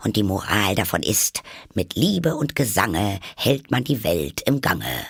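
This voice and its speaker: A self-satisfied old duchess, as a male storybook reader puts her on, forever drawing morals. Her voice sinks deep and gravelly into husky whispers while the pitch still swoops about. The sound is close and dry. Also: big pitch swings, unhurried, a bit bright, dry recording, exaggerated voice. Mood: smug.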